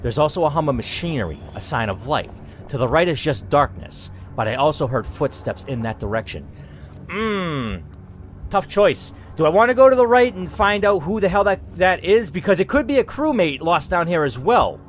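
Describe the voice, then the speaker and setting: A man's voice: fairly high pitch, very animated, normal speed, slight background noise. A young man hosting a gaming channel, reading an interactive story game aloud and breaking off into casual, swearing asides.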